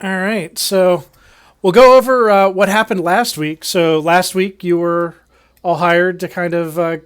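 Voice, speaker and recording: A man's voice: high-pitched, fairly even intonation, a little slow, a bit bright, clean tone. A young man running a tabletop game as dungeon master over a video call, talking casually with frequent "uh" fillers. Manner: hesitant, many "uh" fillers. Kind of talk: casual table talk, introducing players.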